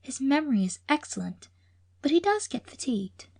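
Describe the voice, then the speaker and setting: A woman voicing a gracious middle-aged hostess speaking gently about her aged father. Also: high voice, sing-song, normal speed, creaky, pitched above the performer's own voice, exaggerated voice. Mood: gentle.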